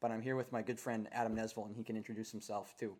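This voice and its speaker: A man's voice: on the low side, flat delivery, very fast, a little mellow. Earnest young man hosting a podcast. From Minnesota. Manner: casual, earnest, frequent fillers and restarts. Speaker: podcast host. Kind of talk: casual conversational podcast.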